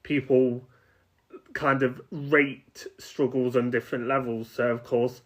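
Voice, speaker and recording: A man's voice: medium pitch, flat delivery, slow. A man hosting a podcast, speaking in an encouraging and hesitant way with many filler words. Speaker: podcast host. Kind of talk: casual interview chat. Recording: dull lo-fi sound.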